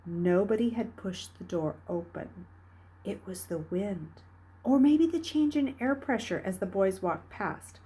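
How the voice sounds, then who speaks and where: Female audiobook-style reader, mid-pitched, a touch raspy, expressive and dramatic children's fiction narration. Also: measured pace. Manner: dramatic, expressive, with hushed dips and emphatic rises. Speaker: reader reading a children's novel aloud. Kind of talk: read-aloud storytelling narration.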